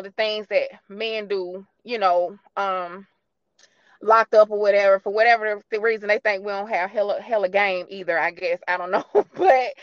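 A woman's voice: mid-range voice, no echo, dull audio. An American woman hosting a podcast, talking in a casual, slangy, emphatic storytelling way. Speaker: podcast host. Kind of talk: casual animated chat and interviewing.

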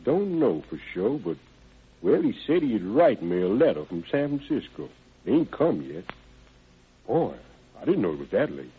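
Folksy, shifty ex-convict, swooping husky voice. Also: male voice, fairly low pitch, sing-song, normal speed, phone-quality sound, heavily acted. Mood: evasive. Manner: hesitant, rambling, folksy ungrammatical speech. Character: evasive young ex-convict odd-job man, folksy and deferential. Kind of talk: old-time radio crime drama acting. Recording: band-limited vintage radio recording.

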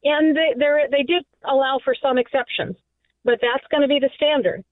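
Brief: Midwest woman pundit, higher voice, halting with ums, tinny phone-line sound. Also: fairly even intonation, no room sound. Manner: hesitant, many fillers. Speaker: political commentator. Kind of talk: radio panel discussion. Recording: phone-line guest, dry.

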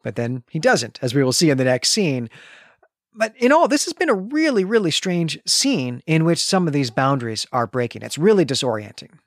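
Lively, higher-pitched man hosting a podcast. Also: normal speed, no room sound, studio quality. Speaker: podcast co-host. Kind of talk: literary podcast recap, semi-scripted.

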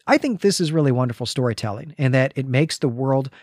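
A man's voice: medium pitch, expressive, quick pace, darkish tone, no echo, studio quality. A man co-hosting a podcast discussion, explaining things with enthusiasm and often checking in with "right?". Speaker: podcast co-host. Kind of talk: podcast discussion with prepared recap.

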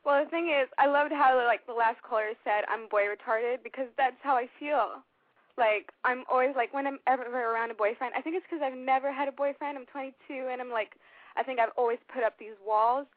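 A woman's voice: high-pitched, brisk, no echo. A woman of twenty-two from Switzerland calling in, rambling and giggly with many fillers. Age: 20s. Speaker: caller. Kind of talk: casual phone call. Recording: dry tinny phone line.

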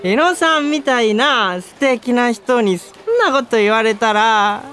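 A woman's voice: fairly high pitch, a little slow, fairly bright tone, a faint crowd, a little hiss or hum. A woman playing a young male junior office worker, polite, earnest and oblivious, in a radio drama. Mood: delighted, eager. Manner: polite casual junior speech, eager.

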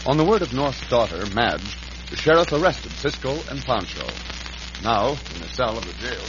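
Animated vintage American radio announcer, male. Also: mid-range voice, moderate pace, noisy background. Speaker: announcer, narrator. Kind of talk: dramatic 1940s-50s radio scripted narration. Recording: muffled vintage radio broadcast. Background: music.

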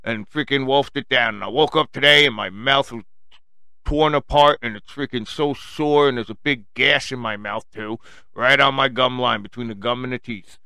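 A man hosts a casual solo show in a strong Long Island accent. His voice is forceful and clear, and he rambles bluntly, swearing and using slang as he talks straight to his listeners. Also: medium pitch, moderate pace, no echo, low-quality recording. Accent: strong Long Island New York. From Long Island, New York, United States. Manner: blunt, profane, slangy, addresses listeners as folks. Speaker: podcast host addressing listeners.

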